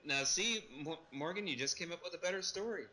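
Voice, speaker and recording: A man's voice: sits high, brisk, pushed and bright, low-quality recording. A man casually discussing and recapping a film as a podcast panelist. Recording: noticeable background noise.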